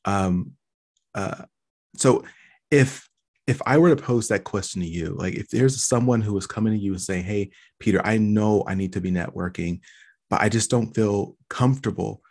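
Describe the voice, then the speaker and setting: A man's voice: fairly low pitch, moderate pace, a little mellow. A man hosting a podcast interview, eager and casual, repeating words as he searches for them. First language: English. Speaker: podcast host.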